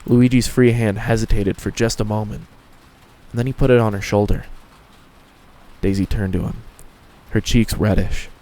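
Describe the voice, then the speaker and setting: A man's voice: fairly low pitch, fairly even intonation, moderate pace, pauses often, dark tone, low-quality recording. A man reading scripted fan fiction narration as the storyteller.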